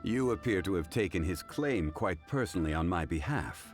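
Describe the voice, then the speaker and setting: A man voicing a calm, learned and modest gentleman who speaks formally and courteously. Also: low-pitched, normal speed. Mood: composed. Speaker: funeral parlor consultant. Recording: game dialogue over music.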